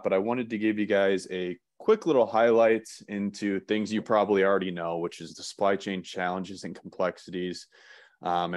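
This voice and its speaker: A man presenting slides in an online webinar, relaxed, with many "um" fillers and restarts. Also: deep voice, no room sound, clean studio sound.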